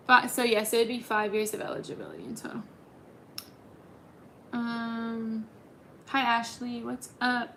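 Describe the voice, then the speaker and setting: A woman's voice: a little high, a bit bright, a little hiss or hum. A woman in her twenties hosting a casual livestream, chatting and answering viewer comments with lots of ums. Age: 20s. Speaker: livestream host.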